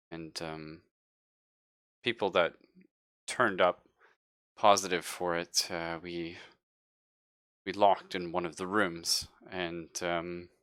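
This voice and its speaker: A man playing an anxious, apologetic ship's doctor in an improvised tabletop roleplay, speaking haltingly and carefully. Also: low voice, sharp tone, no room sound, studio quality, heavily acted. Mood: hesitant, earnest.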